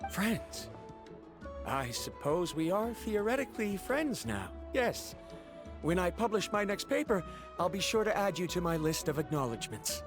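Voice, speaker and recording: An actor playing an earnest, awkward scholar in a video game, speaking formally and a little hesitantly. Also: male voice, high voice, very animated, normal speed, over music. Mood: resolved, awkward.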